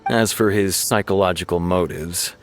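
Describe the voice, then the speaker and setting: Man as a low-voiced, serious law officer. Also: moderate pace, a little hiss or hum, lightly acted. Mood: official, frustrated. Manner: matter-of-fact explaining. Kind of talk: anime video game voice acting.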